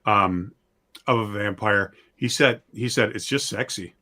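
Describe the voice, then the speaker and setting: Low-pitched man in casual discussion. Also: unhurried, sharp tone.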